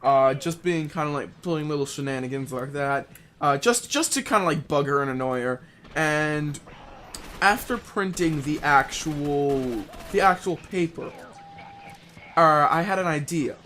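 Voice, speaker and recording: A young man telling a casual, unscripted story in a rambling, halting way, often rephrasing himself as he goes. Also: fairly high pitch, bright, forceful tone, a little hiss or hum. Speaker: online video storyteller.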